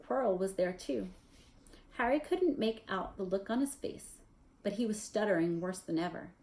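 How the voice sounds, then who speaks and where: A woman reading a children's novel aloud as narrator, in an expressive storytelling style. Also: medium pitch, normal speed, low-quality recording. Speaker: story reader.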